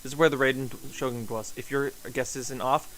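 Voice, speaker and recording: A man's voice: mid-range voice, fairly even intonation, a little fast, fairly bright tone, slight background noise. A man doing casual live game commentary as a streamer, rambling and thinking aloud.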